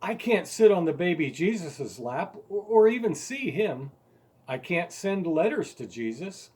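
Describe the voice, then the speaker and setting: A man's voice: high-pitched, big pitch swings, measured pace, husky, voice pushed up, full character voice. A man voicing a stubborn, indignant eight-year-old boy in a storybook, insistent, pleading and emphatic. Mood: loud, determined. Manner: insistent, pleading, growing louder and more emphatic.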